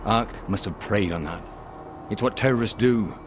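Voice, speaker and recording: An actor playing a guilt-ridden, protective police lieutenant in a video game, speaking in an earnest, guarded, halting way. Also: male voice, on the low side, background noise, natural voice. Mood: bitter, pained. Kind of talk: video game dialogue acting.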